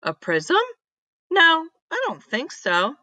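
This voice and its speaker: A woman's voice: high-pitched, huge pitch swings, takes it slowly, bright, forceful tone, dry recording, pitched above the performer's own voice, full character voice. A woman voicing a friendly, welcoming neighbour in a children's storybook, with a lilting manner. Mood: gentle, puzzled.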